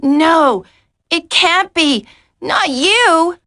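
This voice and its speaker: Very high-pitched captive agent. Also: female voice, animated, drawn-out words, sharp tone, no echo, studio quality, heavily acted. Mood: shocked. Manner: anguished, urgent, breaking. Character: defiant young female special agent held captive. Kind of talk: video game voice acting.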